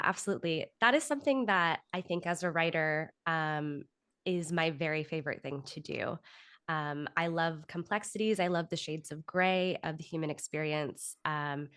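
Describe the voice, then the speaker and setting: Low-voiced woman, articulate interview guest. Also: a bit bright. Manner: articulate, reflective, explains at length. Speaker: interview guest, author and actor. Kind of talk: conversational interview answers.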